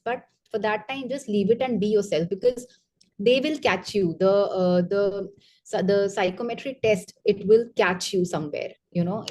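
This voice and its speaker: A woman's voice: medium pitch, warm tone. Candid Indian woman giving advice. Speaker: retired coast guard officer, interview guest. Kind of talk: conversational interview, mixing English and Hindi.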